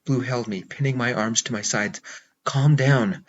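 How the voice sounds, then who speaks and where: A man narrating a first-person romance novel in a measured, intimate way. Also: medium pitch, steady tone, fairly bright tone, lo-fi sound. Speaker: audiobook narrator.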